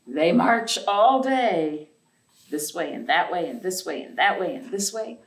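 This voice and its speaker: A woman's voice: fairly low pitch, animated, unhurried, gravelly. A woman hosting a children's storytime, speaking in a sing-song way and putting questions to young listeners, recorded in an echoey room at a distance from the microphone. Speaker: library storytime host. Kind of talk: children's read-aloud storytime with counting rhymes. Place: echoey indoor room, away from the mic.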